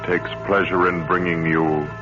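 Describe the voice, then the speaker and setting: A muffled old radio broadcast with loud music under the voice. A very deep-voiced male announcer reads his script in a grand, formal, measured 1940s manner. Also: little pitch movement.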